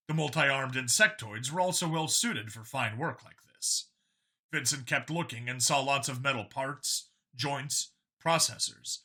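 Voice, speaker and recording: Casting: man for dramatic audiobook narration, expressive and varied pitch, bright, forceful tone. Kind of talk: dramatic scripted story narration.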